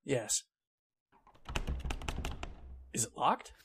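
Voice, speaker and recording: A man's voice: measured pace, fairly bright tone, slight background noise, natural voice. A man playing an anxious, uneasy employee in an old-time radio drama, speaking in a worried, hesitant way. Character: anxious, uneasy male employee searching his employer's gloomy house.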